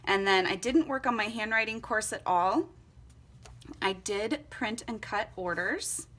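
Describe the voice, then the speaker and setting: A woman's voice: medium pitch, unhurried, a bit bright. A young woman chatting casually and upbeat as she walks through her journal setup at home, laughing often. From Florida and Costa Rica. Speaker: vlogger. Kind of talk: casual vlog narration while journaling.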